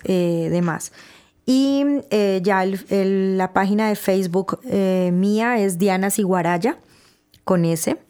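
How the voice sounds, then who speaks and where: Lady on a radio show chatting easy, lots of ums, from colombia. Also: lowish voice. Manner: relaxed, hesitant with many fillers. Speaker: radio guest, salsa writer. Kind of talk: casual radio interview. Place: radio studio.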